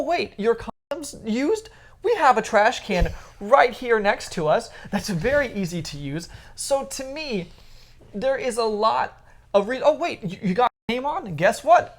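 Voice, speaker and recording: A woman's voice: a little high, lively intonation, unhurried. A woman co-hosting a podcast, chatting casually and giving opinions in an emphatic, teasing way. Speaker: podcast co-host.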